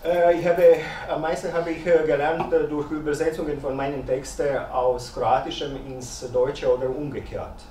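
A man's voice: fairly high pitch, level tone, moderate pace, warm tone. A man in his fifties from Croatia, speaking German as a second language with a Croatian accent, giving a hesitant lecture full of "äh" fillers. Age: 50s. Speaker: guest speaker. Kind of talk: lecture talk. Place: dim lecture salon with projector, small audience. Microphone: far room camera. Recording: echoey room, distant mic, faint noise.

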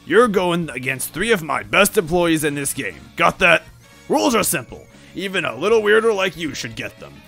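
A gleefully cruel monster boss hosting a deadly game show in a told story. The voice is gravelly and pitched high, swinging widely as he taunts like a bombastic showman, with music playing behind. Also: sing-song, moderate pace, heavily acted. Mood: bossy, impatient. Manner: taunting, shouting showman, sputtering.

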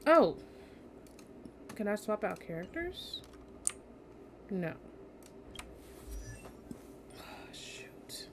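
Streamer: young woman, tired, casual let's play talk at middling pitch, faint noise behind her. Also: big pitch swings, extremely slow, long pauses. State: tired, yawning. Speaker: game streamer. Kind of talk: casual let's play commentary, reading game text aloud.

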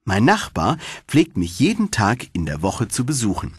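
A man's voice: on the low side, huge pitch swings, normal speed, slightly rough, no echo, clean studio sound, heavily acted. A man voicing a kindly, homely old female field mouse who likes to give advice in a storybook reading. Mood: kindly, persuasive.

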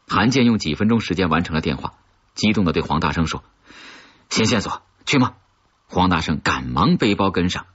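A man narrating a crime thriller audiobook in a husky, measured, dramatic storytelling manner. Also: low voice. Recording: slightly dull, lo-fi audio.

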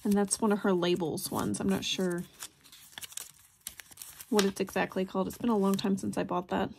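A woman narrates a craft flip-through in an informal, chatty way. Her voice sits at a middle pitch and she sounds keen on the little details. There is noticeable noise behind her, with small clicks. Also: level tone, moderate pace, a little mellow. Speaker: crafter presenting handmade journals. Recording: clear background noise, handling clicks.

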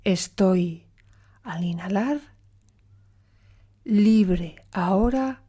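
Female meditation guide: mellow, relaxed tone, slow delivery, soothing, with long pauses. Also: mid-range voice, breathy edge.

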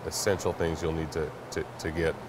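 A man with a low voice explains a tech product as an interview guest. He is matter-of-fact and slightly hesitant, with clear warehouse noise around him. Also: level tone, brisk. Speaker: network product company representative, guest. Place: large indoor warehouse staging space.

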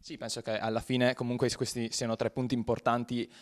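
A man with an Italian accent interviewing players on a handheld mic, pausing on fillers while he translates. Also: mid-range voice, little pitch movement. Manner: halting, filler-heavy when translating. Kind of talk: post-match interview, switching to translating. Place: press backdrop after a match.

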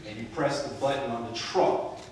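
A man in his forties from Barbados, preaching a sermon with a handheld mic, moving from soft storytelling asides to loud emphatic peaks. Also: medium pitch, normal speed, far from the mic. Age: 40s. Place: church sanctuary. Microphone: handheld mic, far camera. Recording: echoey church, captured from afar.

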